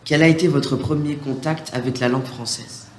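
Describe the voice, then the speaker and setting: A man's voice: fairly high pitch, fairly even intonation, moderate pace, darkish tone, slight background noise. A man co-hosting a radio show, reading a welcome and scripted interview questions. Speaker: radio co-host. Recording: echoey, with music behind.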